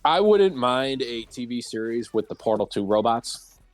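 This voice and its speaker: A man's voice: mid-range voice, lively intonation, normal speed, no room sound, faint music behind. A man chatting casually as a panelist on a livestream round table. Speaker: panelist in a video game discussion.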